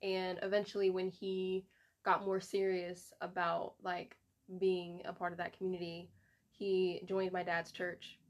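A woman's voice: lowish voice, completely flat delivery, measured pace, lo-fi sound. A young woman, a podcast guest, telling how she met her fiancé in a casual way with lots of "like" and "um". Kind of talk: casual interview chat.

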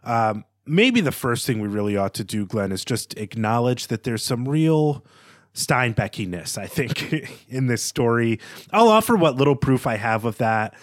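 A man's voice: mid-range voice, very animated, moderate pace, no echo. Thoughtful male podcaster in a dry space. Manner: tentative, hedging, thoughtful. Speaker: podcast co-host. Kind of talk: analytical literary discussion, unscripted.

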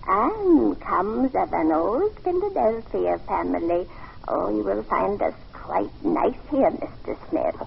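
A woman's voice: mid-range voice, very animated, full character voice. An actress playing a haughty, querulous grand old lady of about eighty in an old-time radio drama. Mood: grand, wistful. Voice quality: creaky, aged. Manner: haughty, grande-dame, drawn-out phrasing. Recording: dull, noisy old radio recording.

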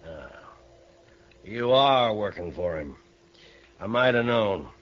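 Folksy, low-voiced old Western rancher. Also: male voice, expressive, slow. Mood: shrewd, blunt. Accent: American Western. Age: older. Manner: folksy drawl, sly and needling. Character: grudge-holding, shrewd old Western ranch owner, folksy and needling. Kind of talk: old radio Western acting. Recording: muffled vintage radio broadcast.

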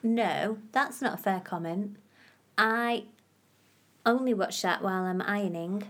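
A woman co-hosting a podcast in casual chat, teasing her partner playfully. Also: mid-range voice. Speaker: podcast co-host.

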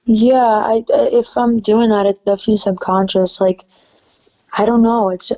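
Soft raspy-voiced woman, mellow and chatty. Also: medium pitch, moderate pace, muffled recording. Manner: relaxed, chatty, many fillers. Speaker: singer-songwriter guest. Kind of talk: casual interview chat.

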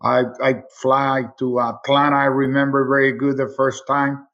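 A man's voice: medium pitch, completely flat delivery, normal speed, fairly bright tone, dull audio. Middle-aged man with a Mexican accent. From Mexico City, Mexico. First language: Spanish. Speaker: sports radio play-by-play announcer, interview guest. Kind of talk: casual interview talk.

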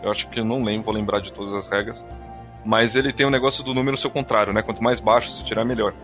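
A man's voice: lowish voice, fairly even intonation, rapid-fire, fairly bright tone. A man with a Brazilian accent co-hosting a podcast, chatting informally with jokes and slang and reading listener messages. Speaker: podcast co-host. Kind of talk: casual chat, reading listener comments. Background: background music.

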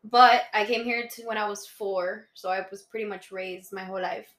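A woman's voice: medium pitch, fairly even intonation, normal speed, fairly bright tone, echoey room. A woman in her twenties, born in Colombia and raised in Miami, chatting easily as a podcast guest and laughing often. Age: 20s. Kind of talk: casual chat.

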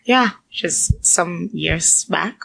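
A young woman from Ghana, a guest entrepreneur, telling stories in a casual interview, animated and laughing often. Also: on the low side, extremely slow, vocal fry, a little hiss or hum, poor audio. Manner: animated storyteller, laughs often, repeats words.

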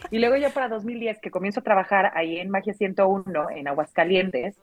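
A Mexican woman in her thirties with a deep, full-bodied voice, telling personal stories casually as an interview guest, in an expressive way. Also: quick pace, no room sound. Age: 30s. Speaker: interview guest, radio announcer. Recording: dull lo-fi sound, music underneath.

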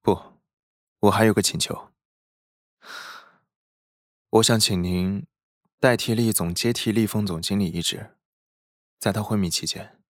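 A man playing a formal, steadfast corporate aide in a radio drama, measured, businesslike and firm. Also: fairly low pitch, steady tone, no echo, clean studio sound, close to an everyday voice. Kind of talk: Chinese radio drama acting.

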